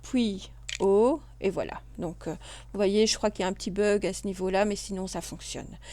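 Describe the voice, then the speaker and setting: A woman narrates a computer tutorial, walking through each step in a patient, methodical way. Her voice sits at a middle pitch and is recorded dry and close to the mic, with no room sound. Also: steady tone, normal speed, warm tone, dry recording. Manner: patient, step-by-step, with small restarts and fillers. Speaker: computer class instructor.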